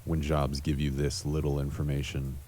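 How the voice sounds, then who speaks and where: A man playing a world-weary, cynical cyberpunk antihero in a deadpan noir monologue for an adventure game. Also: rumbling low, normal speed, a little hiss or hum. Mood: weary, guarded. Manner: deadpan, brooding.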